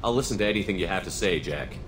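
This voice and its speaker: An actress playing a steady, compassionate, firm and direct military commander in a space video game. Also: female voice, very deep voice, quick pace, slight background noise. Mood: calm, probing. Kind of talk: video game character dialogue.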